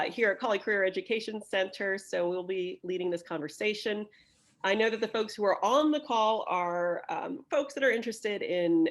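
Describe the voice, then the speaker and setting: A woman career advisor hosting a video chat, speaking in a halting way with many fillers and restarts. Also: on the low side. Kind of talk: moderating a chat.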